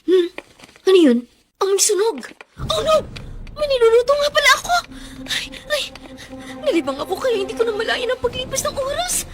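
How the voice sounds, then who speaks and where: A woman's voice: very high voice, sing-song, moderate pace, long pauses, very noisy. An actress playing a flustered, homesick overseas maid and mother in a radio drama, with an exclamatory, emotional manner. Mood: panicked.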